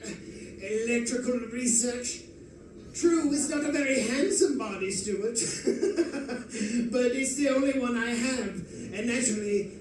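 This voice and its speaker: Very high-pitched, gloating criminal mastermind. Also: male voice. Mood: gleeful, mocking. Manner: theatrical, condescending, chuckling, florid. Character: gleeful, erudite, scheming male criminal mastermind in prison. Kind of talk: old-time radio drama acting. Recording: distant, very echoey live stage recording, faint noise.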